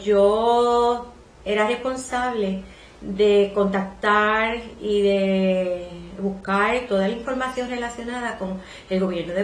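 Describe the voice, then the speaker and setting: A Puerto Rican woman in her sixties recalls her career as a librarian in a polite, measured, reflective way during an oral history interview. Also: medium pitch, a little hiss or hum. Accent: Puerto Rican Spanish. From Puerto Rico. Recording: echoey room.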